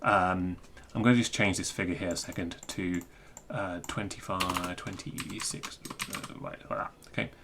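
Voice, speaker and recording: A man giving an informal technical screencast walkthrough, thinking aloud in a halting, self-interrupting way. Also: low voice, fairly even intonation, gravelly, fairly bright tone, a little hiss or hum. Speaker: presenter explaining technical material.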